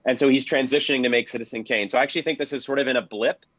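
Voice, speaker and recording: A man's voice: medium pitch, steady tone, racing, a bit bright, dry recording. A man speaking as a history panelist in an enthusiastic, explanatory way during a discussion. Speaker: panelist, film and radio historian. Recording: dull lo-fi audio, dry.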